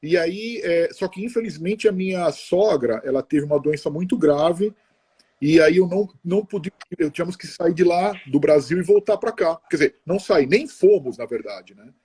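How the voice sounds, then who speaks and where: Middle-aged guy, slightly high warm voice, rambles and restarts a lot, video call. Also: expressive, husky. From Brazil. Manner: chatty, halting, many restarts and tag questions. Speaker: interview guest. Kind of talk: casual conversational interview.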